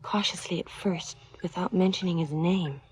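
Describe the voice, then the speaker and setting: An actress playing a crime boss's wistful, wary mistress in a seventies radio drama, confiding in hushed tones that often slip into whispers. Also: female voice, on the low side, steady tone, moderate pace, close to an everyday voice. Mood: tender, reflective. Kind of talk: 1970s radio drama acting, intimate confessional monologue and dialogue.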